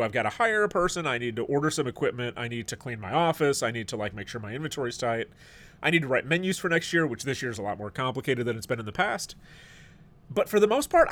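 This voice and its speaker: A man's voice: medium pitch, a bit bright. A middle-aged man hosting a podcast in casual chat, a bit stuffed up and sniffly, rambling and joking. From United States. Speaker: podcast host.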